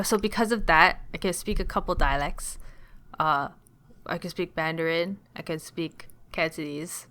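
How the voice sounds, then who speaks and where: A young woman co-hosting a casual podcast, playful and jokey, swinging from loud bursts to quiet asides. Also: low voice. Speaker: podcast co-host. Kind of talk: casual chat.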